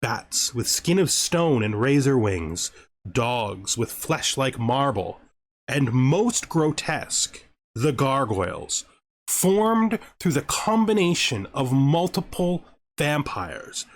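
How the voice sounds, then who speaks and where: A man's voice: medium pitch, sing-song, slow pace. A man hosting a gameplay series, speaking as a narrator and commentator. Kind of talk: gaming commentary with scripted lore narration.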